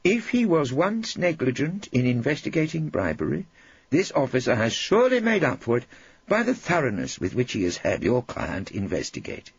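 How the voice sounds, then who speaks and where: Man as pompous old English judge, bewildered, stiff, raised pitch, husky, audiobook storytelling. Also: huge pitch swings, normal speed, no room sound, highs cut off, full character voice.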